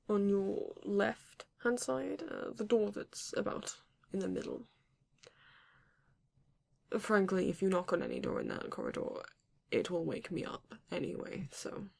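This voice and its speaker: A woman playing a courteous, bashful vampire in an intimate ASMR roleplay, speaking haltingly and formally with a gravelly, creaky voice. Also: mid-range voice, a little mellow, exaggerated voice. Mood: wary, teasing. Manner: hesitant, halting, formal yet endearing. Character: courteous, bashful vampire living alone in a castle.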